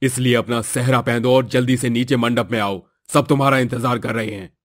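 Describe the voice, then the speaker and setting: A man's voice: mid-range voice, fairly even intonation, fairly quick, lightly acted. A man voicing a domineering, scornful older village headman and father in a moral-story cartoon, with a scolding, haughty manner. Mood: stern, commanding.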